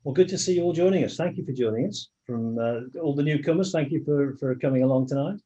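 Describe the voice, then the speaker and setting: A man's voice: medium pitch, quick pace, mellow. A man hosting an online meeting, chatting casually to greet everyone as they arrive, often restarting his sentences. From England. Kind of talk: casual welcoming chat. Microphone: video call. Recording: muffled video call audio.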